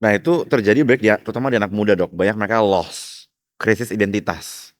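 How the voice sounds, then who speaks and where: A man's voice: on the low side, a little fast, no room sound, studio quality. A man with an Indonesian accent hosting a casual interview, putting points and questions to his guest. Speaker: podcast host.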